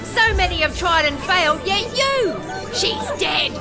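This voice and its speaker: A woman playing an excitable, awestruck townsperson in a fantasy audio drama, exclaiming in amazement. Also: very high voice, measured pace, music in the background, exaggerated voice. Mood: awestruck, jubilant. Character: excitable, awestruck female townsperson of a magical land.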